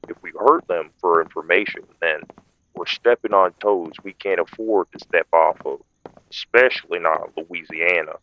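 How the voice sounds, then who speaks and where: Very deep, muffled man as a vampire hunter. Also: normal speed. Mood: firm, cautioning. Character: calculating, dutiful male vampire hunter, tactical and firm. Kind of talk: urban fantasy audio roleplay.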